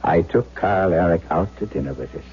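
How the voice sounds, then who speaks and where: Deep-voiced, earnest radio doctor. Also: male voice, animated. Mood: brooding narration. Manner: deliberate, dramatic, coaxing, theatrical. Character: earnest, coaxing German doctor, devoted to his young patient. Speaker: actor narrating and playing a doctor. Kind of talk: 1970s radio drama acting with diary narration. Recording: tinny phone-like 1970s radio broadcast.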